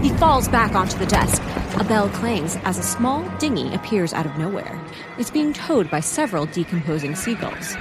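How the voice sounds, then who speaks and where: A woman's voice: medium pitch, natural voice. A woman narrating a scripted comedy audio drama in a wry, playful storytelling manner. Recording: music behind the voice.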